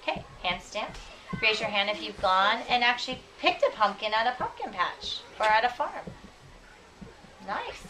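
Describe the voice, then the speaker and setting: A woman teaching young children, reading aloud expressively and deliberately and checking their understanding with questions. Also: a little high, expressive. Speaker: elementary school teacher. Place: school classroom. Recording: noisy classroom, distant microphone.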